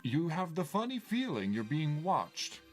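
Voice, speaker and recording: A man's voice: a little high, big pitch swings, a little slow, over loud music. A man voicing the sardonic narrator of an adventure video game, reading scripted narration with dry, mocking asides. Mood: wry.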